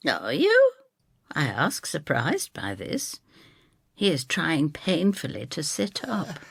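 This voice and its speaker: A woman reading a dramatic first-person story in an expressive, theatrical way. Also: low voice, takes it slowly, creaky, husky, dry recording, clean studio sound. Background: music.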